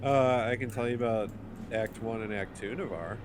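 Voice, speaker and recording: A man's voice: mid-range voice, normal speed, over music. A man playing a tabletop roleplaying game, reading aloud and adding casual asides.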